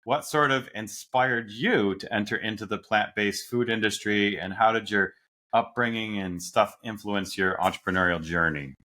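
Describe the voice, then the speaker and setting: A man's voice: fairly low pitch, moderate pace, sharp tone. A man hosting a podcast interview, enthusiastic and upbeat, asking questions in a casual way. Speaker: podcast host. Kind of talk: scripted intro then casual interview.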